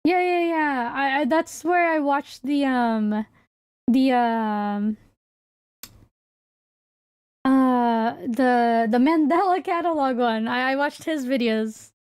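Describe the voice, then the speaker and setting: High-pitched woman streamer, unhurried and rambling. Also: smooth, no echo. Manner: hesitant, rambling, thinking aloud. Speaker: livestreamer and VTuber host. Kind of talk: casual livestream chat.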